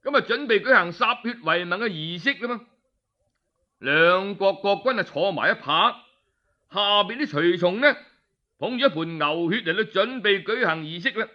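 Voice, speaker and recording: A man's voice: high-pitched, expressive, normal speed, muffled recording. A man with a Cantonese accent telling a traditional story in colloquial style, with explanatory asides.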